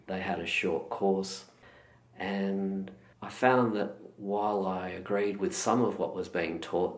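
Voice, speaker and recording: A middle-aged Australian man with a low voice speaks unhurriedly and thoughtfully, as a guest telling his story. The sound carries an echo from the room around him. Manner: slow, measured and thoughtful. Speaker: meditation teacher, guest. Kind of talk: reflective interview answers. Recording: echoey room.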